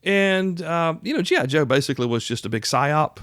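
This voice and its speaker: A man's voice: fairly high pitch, expressive, brisk, a bit bright, clear, resonant tone, dry recording. American male host, forties, folksy and conversational, teaching informally, very little room sound. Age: 40s. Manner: conversational, folksy, addresses the listener directly. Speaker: host and instructor of a prepper radio show. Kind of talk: casual instructional talk.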